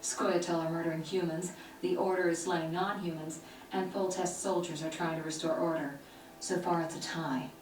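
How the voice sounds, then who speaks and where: An actress playing a confident, composed sorceress in a video game, speaking with assurance. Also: female voice, lowish voice, fairly even intonation, moderate pace, darkish tone, natural voice. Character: confident, loyal female sorceress, composed and brave. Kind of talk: video game cutscene acting. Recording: echoey game audio, distant, faint music.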